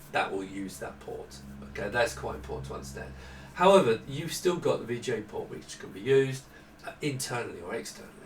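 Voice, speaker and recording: Middle-aged man in an echoey room. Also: medium pitch. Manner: hesitant, explanatory, often breaking off and rephrasing. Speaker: presenter demonstrating hardware prototypes. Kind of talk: informal unscripted product walkthrough. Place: small indoor workroom with desk. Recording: echoey room, distant mic, faint noise.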